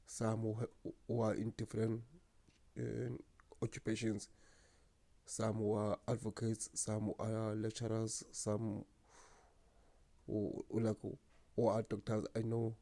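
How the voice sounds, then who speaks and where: Soft-spoken young man with a stutter. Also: fairly low pitch, completely flat delivery, a little slow, creaky, pauses often, no room sound. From South Africa. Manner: stutters, with repeated words and blocks. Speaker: channel host. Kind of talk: personal vlog talk to camera.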